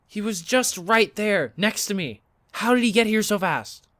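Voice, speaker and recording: An actor playing a sarcastic, exasperated young man in an audio drama, incredulous and halting, with sweary outbursts. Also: medium pitch, lively intonation, fairly bright tone, dry recording, clean studio sound. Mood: bewildered. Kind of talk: naturalistic audio drama dialogue.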